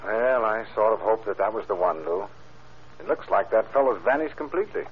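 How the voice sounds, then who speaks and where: A muffled, hissy vintage radio recording carries an actor as a persistent police investigator, a man with a fairly low voice. He questions in a clipped, businesslike way, in classic crime-serial style. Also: animated, brisk, lightly acted. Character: brisk, persistent police investigator chasing a gunman. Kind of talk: 1940s-50s radio crime drama acting.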